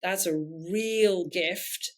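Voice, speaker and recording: A woman's voice: on the low side, extremely slow. A woman with an English accent, a nonprofit founder telling her story in an interview, reflective and earnest, often correcting herself mid-sentence.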